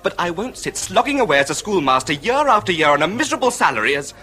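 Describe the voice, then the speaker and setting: An actor playing an earnest, ambitious young English son in a period radio drama, eager and insistent. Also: male voice, high-pitched, sing-song, quick pace, sharp tone, full character voice. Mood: defiant. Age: young adult.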